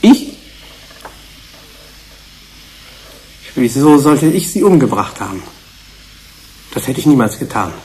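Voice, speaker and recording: An actor playing a sensitive, protective researcher in a German radio drama, earnest and emotional. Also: male voice, medium pitch, sing-song, moderate pace, a little mellow, close to an everyday voice, rough recording. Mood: wounded, denying.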